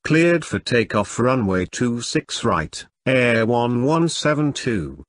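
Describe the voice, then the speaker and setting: A man reading scripted pilot radio calls in a measured, formulaic way for an English lesson. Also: medium pitch, big pitch swings, no room sound. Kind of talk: scripted radio phraseology readback.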